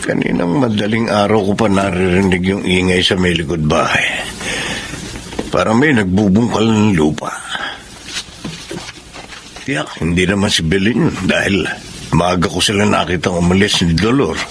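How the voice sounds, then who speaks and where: A man's voice: low-pitched, normal speed, pauses often, a little hiss or hum. A man playing an obliging, hardworking middle-aged father with a Filipino accent in a radio drama. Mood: puzzled musing.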